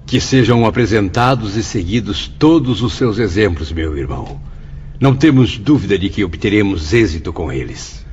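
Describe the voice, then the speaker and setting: A man's voice: on the low side, normal speed, slight background noise, natural voice. An actor playing an earnest, reverent male spirit pupil who recounts memories formally in a radio drama. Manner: formal, expressive. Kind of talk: dramatised first-person narration. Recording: dull lo-fi sound. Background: music.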